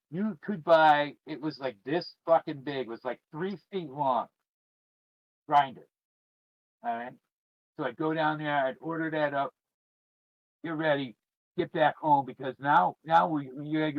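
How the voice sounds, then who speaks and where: A man's voice: fairly high pitch, no echo, muffled recording. A middle-aged man chatting casually to viewers as a streamer, in a rambling, informal and emphatic way. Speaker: podcast host and streamer. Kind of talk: casual chat, reminiscing to viewers.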